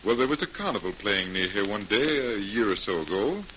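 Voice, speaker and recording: An actor playing a calm, measured magician detective in a 1940s radio drama. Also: male voice, lowish voice. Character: calm magician detective recalling old cases. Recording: muffled, hissy 1940s radio recording.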